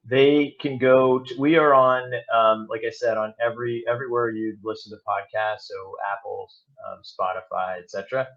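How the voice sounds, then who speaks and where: A man speaking casually as an interview guest, with halting, roundabout phrasing. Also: medium pitch, steady tone, fairly bright tone. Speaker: podcast guest, audio drama producer and director. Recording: slightly dull, lo-fi sound.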